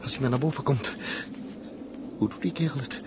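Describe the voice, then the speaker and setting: An actor playing an awed, anxious comrade in a 1960s radio drama, solemn and emphatic. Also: male voice, medium pitch, a little fast, close to an everyday voice. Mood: awed, urgent. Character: awed, anxious male comrade watching a desperate struggle. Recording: very noisy 1960s radio recording.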